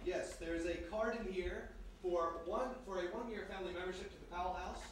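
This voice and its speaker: Very echoey, high-voiced male radio announcer. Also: fairly quick. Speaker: announcer and quiz host. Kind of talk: live old-time radio show announcing. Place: live performance before studio audience in historic house. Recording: very echoey, noisy, distant live room.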